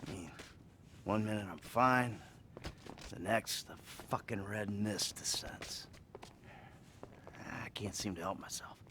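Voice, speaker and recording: An actor playing a troubled, foul-mouthed middle-aged American criminal in a video game cutscene, halting and wry. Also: male voice, on the low side, background noise. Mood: confessional, anguished.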